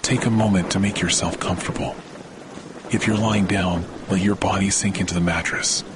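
A man narrating a guided sleep meditation in a slow, soothing, measured way with long pauses. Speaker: meditation podcast host. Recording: rain and thunder noise throughout. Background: steady rain and rumbling thunder.

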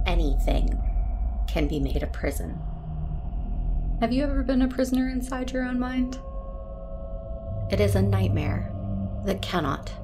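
A woman's voice: fairly low pitch, vocal fry, background noise. An actress playing an anguished former Jedi trapped in her own mind, narrating an audio drama in a haunted, intense way. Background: music.

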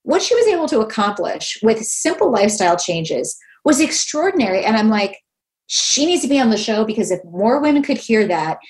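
A woman's voice: fairly high pitch, fairly quick, rough recording. Enthusiastic woman host in her fifties. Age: 50s. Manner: enthusiastic, animated, quotes herself and others. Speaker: podcast host. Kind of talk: casual interview chat.

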